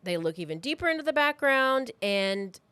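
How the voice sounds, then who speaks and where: A woman's voice: on the high side, sing-song, normal speed, bright, forceful tone, clean tone, dry recording. A woman co-hosting a true crime podcast, telling the story in a casual, loosely scripted way with wry asides.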